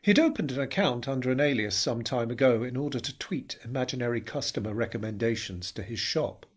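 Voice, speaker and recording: A man reading a dark short story as a dramatic narrator, in a brooding, theatrical manner that can drop towards a whisper. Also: medium pitch, lively intonation, brisk, breathy edge.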